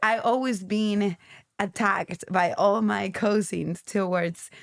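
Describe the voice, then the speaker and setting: Gravelly Venezuelan woman chatting. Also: mid-range voice, steady tone, takes it slowly, no echo, studio quality. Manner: rambling, restarts, loose English grammar. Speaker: guest. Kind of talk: casual interview chat.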